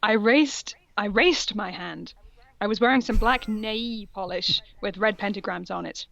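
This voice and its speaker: A woman's voice: mid-range voice, measured pace, gravelly, fairly bright tone, no room sound, low-quality recording. A woman co-hosting a comic reading of fan fiction, narrating mock-seriously and giggly, with asides. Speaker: co-host.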